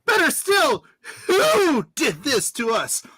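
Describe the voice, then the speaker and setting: A woman playing an excitable starship officer in an amateur radio play, with theatrical exclamations. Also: high-pitched, slow pace, slight background noise, heavily acted. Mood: excited. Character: excitable starship officer working the computer consoles.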